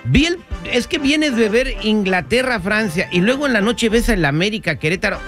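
A man's voice: high voice, lively intonation, moderate pace, fairly bright tone, soft background music. A man with a Mexican accent on a sports panel, bantering casually and argumentatively with slang and repetition.

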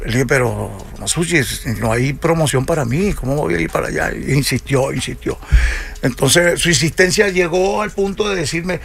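An older man from Venezuela, a salsa musician, telling unhurried stories in a radio studio interview and acting out the dialogue he quotes. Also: on the high side, animated, gravelly, fairly bright tone, faint music behind.

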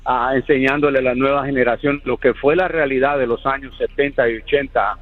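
A man's voice: mid-range voice, fairly even intonation, narrow, tinny audio, crowd noise. A man in his sixties from Guatemala with a Guatemalan Spanish accent, calling a radio show and speaking in an earnest, reflective way. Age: 60s. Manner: earnest, reflective, measured storytelling. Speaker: caller. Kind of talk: phone-in radio call.

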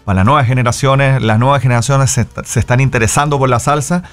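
Calm Chilean singer, resonant voice. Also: male voice, medium pitch, quick pace, clean tone. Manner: calm, explanatory. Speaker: salsa singer, guest. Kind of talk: casual interview chat. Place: radio studio.

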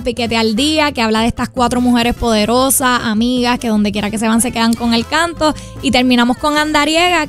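Young woman, Puerto Rican accent, slightly high voice, talkative, informal interview style, music faintly behind. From Puerto Rico. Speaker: singer-songwriter being interviewed. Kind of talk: conversational promotional radio interview.

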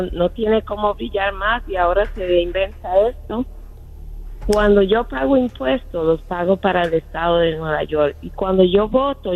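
Una mujer que llama a un programa de radio por teléfono y opina de manera informal. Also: female voice, mid-range voice. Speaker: radio phone-in caller. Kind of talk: casual opinionated chat. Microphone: telephone. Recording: dull narrow phone-line sound.

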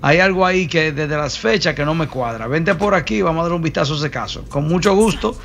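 A man's voice: a little high, brisk, creaky, fairly bright tone, slight background noise. A man giving casual immigration advice on a call-in radio show, teasing and dropping in English words.

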